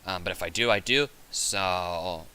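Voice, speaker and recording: A man with a raspy, hoarse voice chatting casually on a game livestream, rambling and thinking aloud. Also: sits low, unhurried, sharp tone. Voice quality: raspy, sore voice. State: hoarse from hours of talking. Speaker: game streamer, host. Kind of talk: casual livestream chat while gaming.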